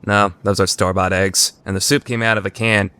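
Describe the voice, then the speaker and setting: A man's voice: lowish voice, flat delivery, brisk, heavy background noise, natural voice. A man playing a blunt, matter-of-fact teenage schoolboy who explains things plainly in an anime fan dub.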